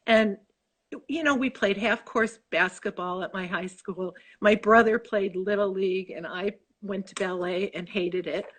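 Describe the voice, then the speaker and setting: A woman's voice: medium pitch, fairly bright tone. A woman in her sixties with a Chicago accent, an author talking about her book in an effusive, rambling interview over a video call. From Chicago, USA. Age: 60s. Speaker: author guest. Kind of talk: casual interview chat.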